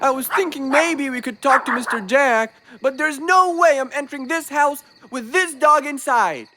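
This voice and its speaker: A woman's voice: sits high, normal speed, sharp tone. A woman voicing a reluctant, grumpy, cowardly boy in a children's cartoon, grudging and a little whiny, with music behind.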